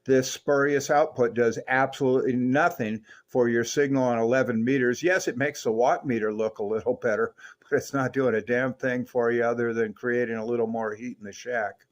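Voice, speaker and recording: Older man, relaxed technical radio talk. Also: medium pitch, moderate pace. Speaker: radio reviewer and CB operator. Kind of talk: technical review narration and on-air radio chat. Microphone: stock desk microphone on the radio.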